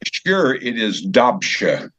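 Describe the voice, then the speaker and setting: An older man being interviewed as a guest over a remote call, explaining things in a measured, halting way. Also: mid-range voice, sing-song, a bit bright, no echo. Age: older, 70s or so. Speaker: guest from a community theater group. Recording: dull, band-limited call audio.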